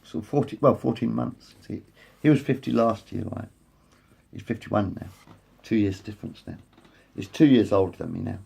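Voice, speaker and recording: Gravelly raspy guy almost fifty, talking into his phone, keeps circling back and correcting himself. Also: fairly low pitch, moderate pace, mellow. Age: 40s. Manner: repetitive, meandering, self-correcting. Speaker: vlogger. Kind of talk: rambling casual monologue to camera. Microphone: phone front camera.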